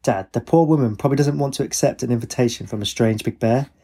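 A man's voice: mid-range voice, fast talker, dark tone, slightly rough. A man voicing a fond but exasperated young woman student in a story, with a dry, chiding manner.

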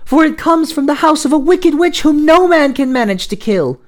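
A man's voice: extremely high pitch, expressive, moderate pace, pitched above the performer's own voice. A man voicing an anxious young princess, a loving, pleading wife, in a storybook fairy tale. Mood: fearful warning.

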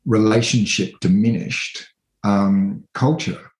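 A man's voice: on the low side, fairly even intonation, takes it slowly, reverberant. A man in his thirties from country New South Wales with an Australian accent, speaking as an interview guest in a thoughtful, hesitant way with many fillers and restarts. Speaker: interview guest, artist and researcher. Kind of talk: reflective conversational interview.

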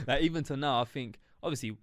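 A man, musing at length in casual chat, with many fillers. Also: on the high side. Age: 20s. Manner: rambling, reflective, many fillers.

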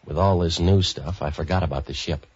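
An actor playing a world-weary, wisecracking troubleshooter in a deadpan, sardonic 1940s radio detective style. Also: male voice, deep voice, a little fast, husky. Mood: deadpan narrating. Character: world-weary, wisecracking hard-boiled private troubleshooter, a man. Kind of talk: 1940s radio detective acting, narration and dialogue. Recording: muffled old 1940s radio recording.

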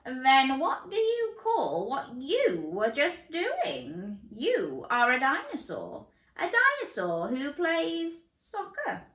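A grand male chameleon coach who booms out every word slowly and with great emphasis, as a woman puts him on. The voice sits high and swoops widely in pitch. It rings bright in a roomy, echoey space. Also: huge pitch swings, full character voice. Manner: drawn-out, booming, emphatic. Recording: roomy echo.

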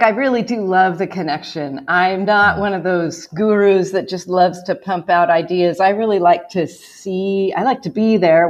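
A woman's voice: on the low side, dull audio. A woman guest in an interview, speaking reflectively in a slightly growly morning voice. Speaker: guest, preaching professor. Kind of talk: conversational interview answers.

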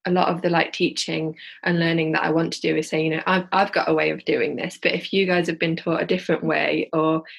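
A woman's voice: low-pitched, flat delivery, fairly quick, vocal fry, hardly any pauses, slightly rough. A woman with a Yorkshire accent, talking through her plans as an interview guest, rambling and restarting as she thinks aloud. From Yorkshire, England. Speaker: guest, social enterprise founder. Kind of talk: casual interview chat.

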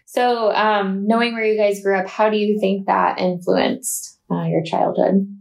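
Casting: mid-pitched woman host, gravelly, friendly company podcast interviewer. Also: steady tone, a little slow. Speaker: host, marketing coordinator.

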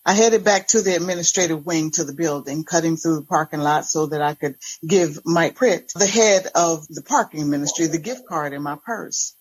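A woman's voice: low voice, moderate pace, hardly any pauses, slightly rough, poor audio. A woman reading a first-person dramatic story as its narrator.

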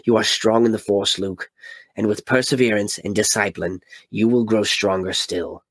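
A man voicing a wise old male mentor, speaking low, quiet and solemn. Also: steady tone, normal speed, slightly rough, no echo. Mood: grave.

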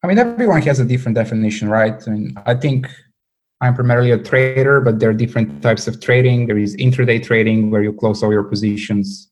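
A man from Bulgaria, a portfolio manager answering interview questions in a hesitant way, with many pauses and restarts. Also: mid-range voice, darkish tone.

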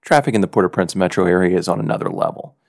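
A man's voice: low-pitched, wildly swooping pitch, creaky, a little mellow, no room sound, studio quality. A man hosting a podcast, telling a personal story in earnest, reflective scripted narration. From United States. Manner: earnest, reflective, measured delivery. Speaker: podcast host.

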